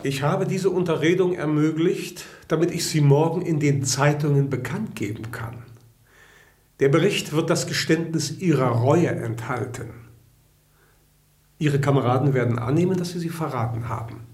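An actor playing a cold, patient police official who presses a prisoner in a measured, menacing way in a radio play. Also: male voice, fairly high pitch, darkish tone, lightly acted. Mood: cold, pressing. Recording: echoey, reverberant room.

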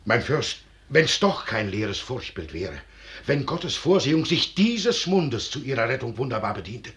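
An actor playing a loyal, cautious old commandant, deferential and earnest, in 1950s radio drama style. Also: male voice, medium pitch, very animated, unhurried, a bit bright. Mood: urgent pleading. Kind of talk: 1950s German radio drama stage acting.

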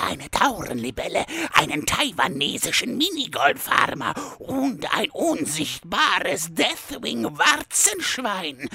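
A male actor playing a sleazy, boastful seducer and pet peddler with a creaky, rough voice in a comedic audio drama. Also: high-pitched, sharp tone, full character voice. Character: sleazy, boastful seducer hawking exotic pets.

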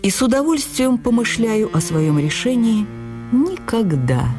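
A woman's voice: fairly high pitch, sing-song, measured pace, over music. Woman reading as a dignified, wry male writer. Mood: wounded, wry. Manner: dignified, reproachful, ironic. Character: proud, wry male writer replying by letter.

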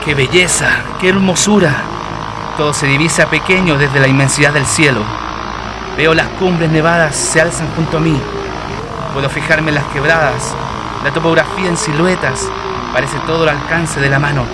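An actor playing a brave, excitable Chilean pioneer pilot who talks to himself theatrically in a radio drama. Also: male voice, a little high, moderate pace, close to an everyday voice. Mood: awed, confident. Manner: theatrical, exclamatory, talking to himself. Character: Chilean pioneer aviator, brave, excited, anxious but determined. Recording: loud engine-like noise behind the voice.

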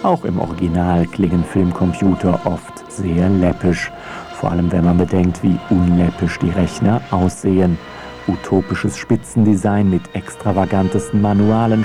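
A low-pitched male narrator reads a scripted feature essay. His delivery is wry and dryly ironic, with music audible behind him.

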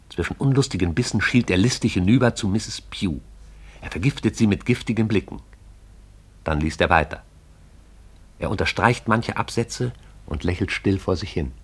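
A man narrates a poetic radio drama in a lyrical, measured way. His voice is very deep and somewhat husky. He lingers over the images and savours them.

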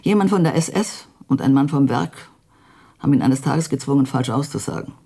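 An actress playing a grave, embittered elderly widow in a radio drama, with a husky, gravelly voice. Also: female voice, a bass voice, expressive, brisk, mellow, full character voice. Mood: grave, sorrowful. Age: older. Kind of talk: naturalistic radio drama acting.

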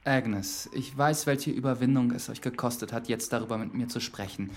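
A man's voice: mid-range voice, moderate pace, natural voice. A young man playing a polite, earnest young priest in a radio drama, speaking formally and carefully. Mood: earnest, probing. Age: young adult. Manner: formal, considerate, careful questioning. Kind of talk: radio drama acting with first-person narration.

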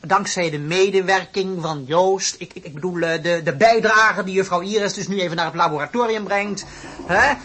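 A man playing a smooth, swindling professor in a radio play, stammering, repeating himself and fawning with false reassurance. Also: high-pitched, moderate pace, sharp tone, exaggerated voice. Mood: fawning, flustered. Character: smooth, swindling, flustered male university professor.

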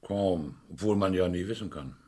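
Police inspector, radio crime drama: low, husky, curt and probing. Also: male voice, moderate pace, darkish tone. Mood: dry, pondering. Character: brisk, sceptical male police inspector. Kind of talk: German radio crime play acting.

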